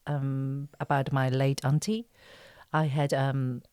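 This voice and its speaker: A woman from China with a Chinese accent, chatting in an interview and often pausing to search for words. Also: a bass voice, level tone, a little mellow, clear, resonant tone, dry recording, studio quality. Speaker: interview guest, actress and writer. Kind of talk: casual interview chat.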